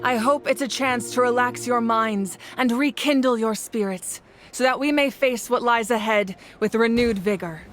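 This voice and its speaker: An actress voicing a solemn, commanding ruler in a video game, speaking in a formal, measured, stately way. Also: female voice, on the high side, natural voice. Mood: solemn, grateful. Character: commanding, solemn female war leader and ruler of a nation. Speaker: leader addressing her people. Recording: music behind the voice.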